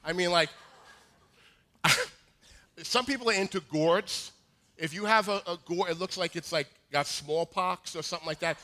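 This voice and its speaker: A man in his forties with a high voice, preaching a sermon in a joking, conversational way with many restarts. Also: moderate pace, pauses often, sharp tone. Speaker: pastor. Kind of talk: sermon with humorous asides.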